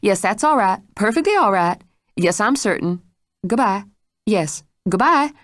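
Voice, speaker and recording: A woman voicing a brisk, indignant middle-aged housekeeper who speaks in a clipped, hurried way. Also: mid-range voice, animated, no room sound, studio quality, pitched above the performer's own voice, lightly acted.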